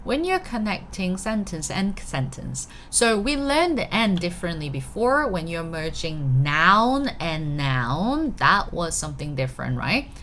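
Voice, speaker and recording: A woman's voice: fairly low pitch, very animated, unhurried, few pauses, fairly bright tone, a little hiss or hum. A woman from Korea with a Korean accent, teaching a live online language lesson in a chatty manner, often checking in with her students. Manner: switches between English and Korean.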